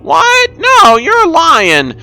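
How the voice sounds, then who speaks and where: Man acting teenage cartoon whale daughter, shrill falsetto, very high, emotional, music behind. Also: wildly swooping pitch, very slow, bright, forceful tone, exaggerated voice. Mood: outraged.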